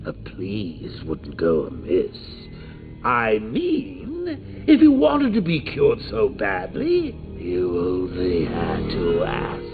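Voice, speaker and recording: A sneering clown villain with a gravelly, cackling voice. His pitch bounces wildly as he talks, unhurried. It sounds thin and phone-like, with music playing behind. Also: huge pitch swings, exaggerated voice. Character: cruel, joking grown man clown criminal. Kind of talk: video game voice acting.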